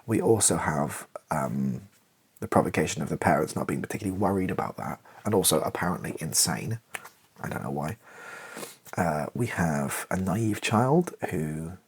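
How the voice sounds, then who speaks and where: A man's voice: sits low, expressive, moderate pace, slightly rough. A British man thinking aloud in an ASMR style as he writes, tentative, wry and self-mocking. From Britain. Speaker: ASMR video creator. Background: keyboard typing and clicks.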